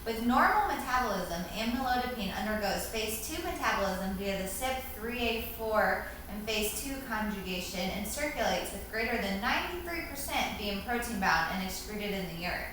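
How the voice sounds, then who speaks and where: A woman recites prepared answers like a student in class, formally and carefully. Her medium-pitched voice comes from some distance away in a strongly echoing room. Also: expressive, moderate pace, hardly any pauses, a bit bright. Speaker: student answering quiz questions. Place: reverberant indoor room, distant microphone. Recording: very echoey room, far mic, faint hiss.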